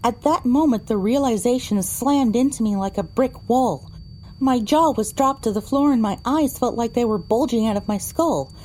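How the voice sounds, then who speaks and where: A woman's voice: on the high side, moderate pace, darkish tone, a little hiss or hum, lightly acted, rough recording. A woman narrating a first-person story for an audio drama, reading descriptively with dramatic phrasing.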